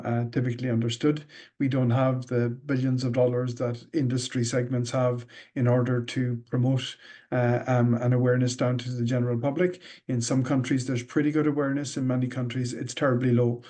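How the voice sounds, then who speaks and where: A man's voice: mid-range voice, mellow. A middle-aged man with an Irish accent, answering interview questions thoughtfully with many ums and uhs. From Ireland. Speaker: guest, managing director.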